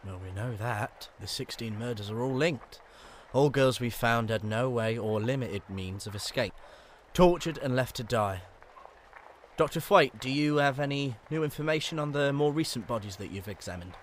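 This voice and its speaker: A man's voice: medium pitch, normal speed, a little hiss or hum, natural voice. A man playing an exasperated, authoritative Victorian chief inspector in a radio drama, grim and commanding, with a British accent.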